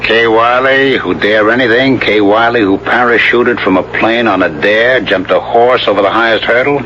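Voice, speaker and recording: A man's voice: lowish voice, moderate pace, pushed and bright. An actor playing an urgent, insistent man who goads a frightened companion, in 1970s radio drama style. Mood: urgent, goading. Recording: muffled, noisy old radio recording.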